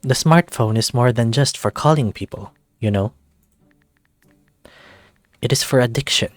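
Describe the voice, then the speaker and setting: A man's voice: mid-range voice, a little slow, no echo, natural voice. A man voicing a sly, self-assured male silver fox mentor in a visual novel, coaxing and matter-of-fact. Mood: casual, pushy.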